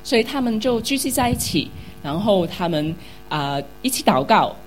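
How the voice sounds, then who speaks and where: A woman's voice: mid-range voice, very animated, moderate pace, faint music behind. A woman interpreting a sermon into Mandarin, which is not her first language, hesitant and full of fillers.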